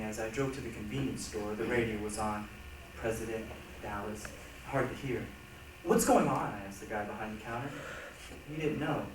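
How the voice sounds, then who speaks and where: A man's voice: medium pitch, lightly acted. A man performing a reader's theatre monologue as a reflective, earnest man recounting his memories. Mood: wry reminiscing. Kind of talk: staged dramatic monologue reading. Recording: very echoey, noisy hall, distant mic.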